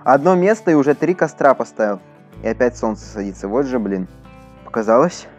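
Male let's player, medium pitch, informal and talkative, addressing viewers during gameplay. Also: a little fast, faint music behind. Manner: chatty, talks to his horse and to viewers. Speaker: gaming YouTuber. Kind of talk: casual Minecraft let's play commentary.

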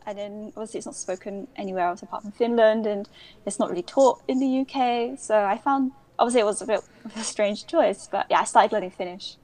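A woman's voice: medium pitch, a little mellow. Chatty young London woman, podcast guest. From England. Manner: chatty, frequent ums and self-corrections. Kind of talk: casual conversational storytelling.

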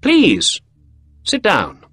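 Sex: male. Figure: a man voicing a clever, brisk detective in a storybook reading. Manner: quick, confident. Pitch: raised, lively. Behind: faint music. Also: slightly rough, no echo, exaggerated voice.

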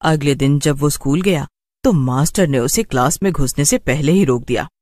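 A man's voice: fairly high pitch, normal speed, darkish tone, no echo, studio quality. A man narrating a scripted children's horror story as a storyteller.

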